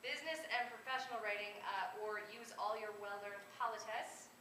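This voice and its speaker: A woman's voice: mid-range voice, normal speed, sharp tone. A woman author reading humorous prose aloud at a live event. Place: convention room, live event. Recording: echoey, noisy room from far off.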